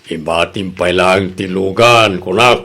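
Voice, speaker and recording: A man's voice: sits low, measured pace, sharp tone, heavily acted. A man with an Ilocano accent playing a money-minded jeepney owner, a casual and self-interested boss in a comedy radio drama. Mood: offhand.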